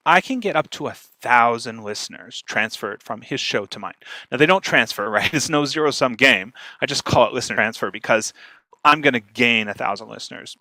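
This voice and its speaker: Guy explaining stuff in a casual how-to talk, bright forceful voice, pretty dry close sound. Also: medium pitch, no room sound. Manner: explanatory, checks in with "right?". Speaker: speaker, podcast marketer giving a talk. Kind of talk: informal instructional presentation.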